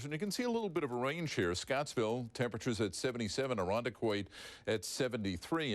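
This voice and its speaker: A man's voice: medium pitch, no echo. A man with an American accent presenting a conversational live TV weather forecast.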